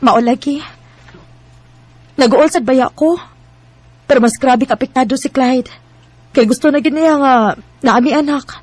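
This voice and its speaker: A high-voiced wife in a radio drama. She speaks with open emotion, loving yet quick to show hurt and sharpness. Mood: worried. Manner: expressive, emotional delivery.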